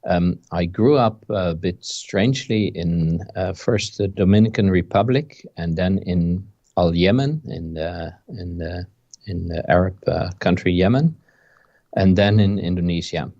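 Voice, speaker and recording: A Dutch man of about fifty speaks with a Dutch accent in a very low voice, calmly telling his story. The sound is dull and muffled, as on a video call, and dry with no room echo. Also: measured pace. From Netherlands. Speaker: interview guest, game designer. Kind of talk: casual video-call interview.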